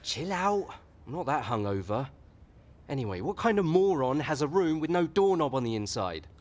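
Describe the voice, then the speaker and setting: A young British man in a radio soap. His voice is fairly high and very animated, with a blunt, cheeky, casual manner. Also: moderate pace. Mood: cheeky, irritable. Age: young adult. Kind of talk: naturalistic radio soap acting.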